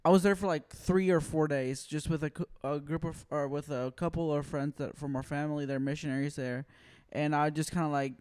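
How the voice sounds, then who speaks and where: A man hosting a podcast, telling stories in a relaxed, casual way with many "like" fillers. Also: on the high side, a little fast, few pauses, smooth. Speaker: podcast host. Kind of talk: casual chat.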